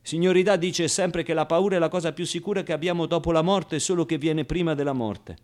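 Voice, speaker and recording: An actor playing a naive, streetwise young man recalling his boyhood in a confiding, colloquial radio monologue with dramatic pauses. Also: a little high, darkish tone, close to an everyday voice. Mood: naive, animated.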